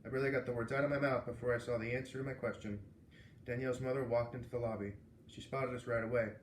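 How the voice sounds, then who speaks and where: A man narrating a children's book aloud in an expressive storytelling style. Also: mid-range voice, fast talker, roomy sound, slight background noise.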